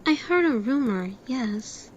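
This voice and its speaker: Woman playing a high-voiced, chatty pub landlady. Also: animated, takes it slowly. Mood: gossipy. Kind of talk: amateur audio drama acting. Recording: dull lo-fi sound, faint noise.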